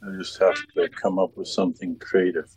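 A man with a fairly low voice chats informally. He rambles through his thoughts in a halting manner, repeating words as he works them out. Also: level tone, darkish tone, slightly rough, poor audio. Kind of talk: casual chat, rambling anecdotes.

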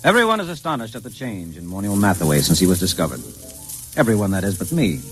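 An actor playing a wry, fussy sophisticate narrating a 1950s radio drama. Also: male voice, fairly low pitch, sing-song, fast talker, a bit bright, soft background music. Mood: wry, confiding. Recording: hissy 1950s radio recording.

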